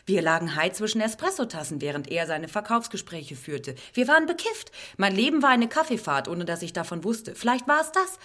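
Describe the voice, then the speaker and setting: A woman's voice: mid-range voice, expressive, fairly quick, hardly any pauses, fairly bright tone, lightly acted. An actress playing a frantic, overworked woman in an avant-garde radio play, insistent and given to outbursts. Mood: insistent, exasperated. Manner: insistent, bursting into screamed outbursts. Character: frantic, overworked woman protesting against her life. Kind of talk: German avant-garde radio play, rapid declamatory dialogue.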